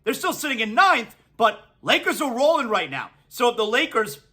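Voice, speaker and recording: A man in his forties hosting a solo sports podcast, talking in an energetic, excitable way and reading sponsor spots between riffs on the games. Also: very high-pitched, normal speed. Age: 40s. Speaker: sports talk host.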